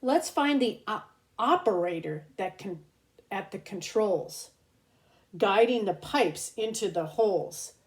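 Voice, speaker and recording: A woman reads a picture book aloud in the manner of a preschool teacher. Her delivery is slow and expressive, pitched for small children, with an average-pitched voice. She pauses to point things out and explain words simply. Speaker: pre-kindergarten teacher reading aloud.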